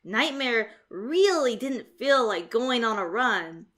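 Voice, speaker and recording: A woman reading a rhyming children's storybook aloud as narrator, in a theatrical, expressive and measured way. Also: a little high.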